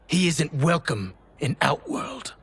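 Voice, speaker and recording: An actor playing a menacing, contemptuous soldier villain in a game, gravelly and commanding. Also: male voice, on the high side, very animated, slow, a bit bright, full character voice. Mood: threatening. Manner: threatening, commanding. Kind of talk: dramatic game cutscene acting. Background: dramatic music.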